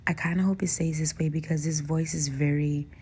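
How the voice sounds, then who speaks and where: Raspy, low-voiced young woman podcaster. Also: brisk. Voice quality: raspy, soft voice. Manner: relaxed and chatty, laughs often, slangy asides. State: hoarse. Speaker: podcast host. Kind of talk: casual solo podcast chat. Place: hotel room.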